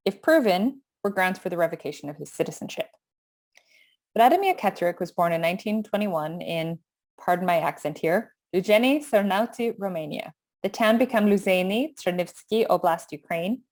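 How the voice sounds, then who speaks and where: Measured Canadian woman reading a history lecture. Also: lowish voice, darkish tone. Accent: Canadian English. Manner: measured, reads from a script with small slips. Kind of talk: prepared lecture read aloud.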